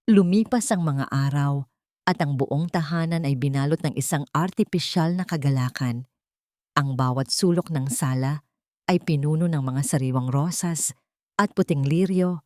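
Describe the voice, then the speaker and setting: Low-voiced woman narrating a dramatic story. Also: darkish tone, no echo, clean studio sound.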